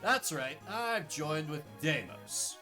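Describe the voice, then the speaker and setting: A man's voice: fairly high pitch, animated, takes it slowly, sharp tone, full character voice. Man playing gloating, cocky traitor lord. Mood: menacing. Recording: game music behind the voice.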